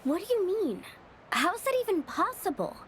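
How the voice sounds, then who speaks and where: A woman voicing a small, excitable, easily scared fairy-like companion girl in an anime-style video game, with a childlike manner. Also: very high voice, moderate pace, a little hiss or hum, exaggerated voice. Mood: curious. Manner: childlike, speaks of herself in third person.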